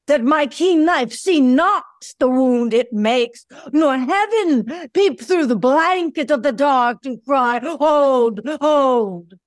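Eager little Shih Tzu mix played by a woman, high voice, slow theatrical delivery, close dry sound. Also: no echo. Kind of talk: audio play acting, theatrical.

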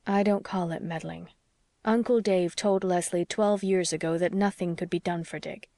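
A woman voicing an earnest, stubborn young male doctor who reasons in a measured, formal way, as in an audiobook. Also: lowish voice, steady tone, dark tone, no echo, close to an everyday voice. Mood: firm, reasoning. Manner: measured, formal, slightly stiff. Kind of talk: audiobook character dialogue.

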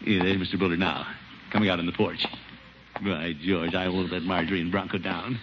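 An actor playing a blustering, scheming but good-hearted middle-aged uncle in a theatrical 1950s radio comedy style. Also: male voice, low voice, fairly even intonation, brisk, close to an everyday voice. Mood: scheming, neighborly. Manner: blustery, theatrical, self-important. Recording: muffled, noisy vintage radio recording.

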